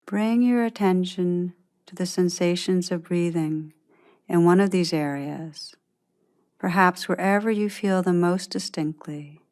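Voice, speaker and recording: A woman leads a guided meditation in a somewhat low voice. She speaks very slowly and soothingly, leaving long pauses between gentle, measured instructions. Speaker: meditation teacher guiding a practice.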